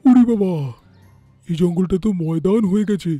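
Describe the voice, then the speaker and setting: Brash, jumpy rich man for animation male actor: high, mellow, exclamatory. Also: moderate pace, soft background music, natural voice. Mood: boastful. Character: brash, easily startled businessman landowner.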